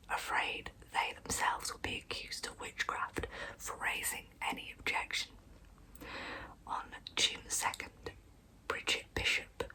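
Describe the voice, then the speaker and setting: A woman narrating true crime as ASMR in a breathy, hushed whisper, telling the story in measured phrases. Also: rough recording. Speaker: ASMR storyteller, channel host.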